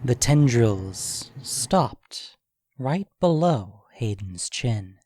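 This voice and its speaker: A man narrating an audio drama in a deliberate, expressive, dramatic storytelling style. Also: mid-range voice, dry recording.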